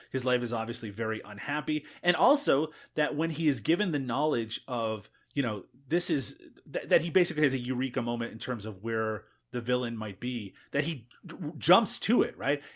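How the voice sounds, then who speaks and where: Chatty man reviewing a film. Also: mid-range voice. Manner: chatty, laughs often, frequent restarts. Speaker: film podcast co-host. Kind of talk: casual film discussion.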